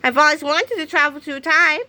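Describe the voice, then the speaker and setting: A woman's voice: high-pitched, fairly even intonation, normal speed, pushed and bright, dry recording, voice pushed up. A woman voicing a cheeky, eager young schoolboy in a storybook reading.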